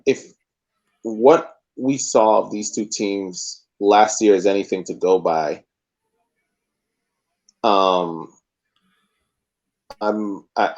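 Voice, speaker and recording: Hesitant low-voiced man, dull lo-fi mic. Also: a little mellow. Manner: hesitant, measured, many "uh" and "um" pauses. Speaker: podcast co-host. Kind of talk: casual sports podcast chat.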